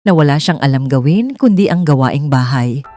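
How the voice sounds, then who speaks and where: A woman's voice: very deep voice, animated, moderate pace, dark tone, no echo, studio quality, soft background music. A woman reading a scripted romance story as its narrator.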